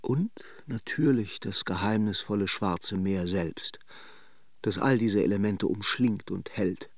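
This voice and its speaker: A suave, gossipy man of leisure muses with theatrical, ironic courtesy. His voice sits fairly low with a pronounced creak. The sound is close and dry, as in a studio radio drama. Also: unhurried, dry recording. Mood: dreamy musing. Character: suave, gossipy male holiday idler watching café guests.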